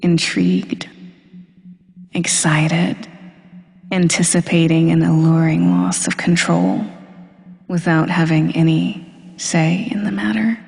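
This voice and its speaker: A woman speaks very slowly in a creaky voice washed in long, hall-like reverb. She delivers an intimate hypnosis monologue with deliberate, suggestive control. Her phrases often sink into a breathy near-whisper as she teases and commands. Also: fairly low pitch, fairly even intonation, darkish tone, faint music behind. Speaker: hypnosis audio performer.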